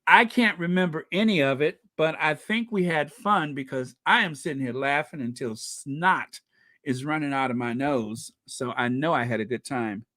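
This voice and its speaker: A man hosting a casual livestream, chatting with viewers and reading their comments aloud, animated and quick to laugh. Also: a little high, no echo, clean studio sound. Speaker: livestream host.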